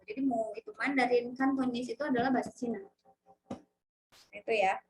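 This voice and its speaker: High-voiced woman lecturing on a video call. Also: fairly even intonation, a little fast, poor audio. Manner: questioning, prompts students with frequent tag questions. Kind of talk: online sociolinguistics lecture. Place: home, online class.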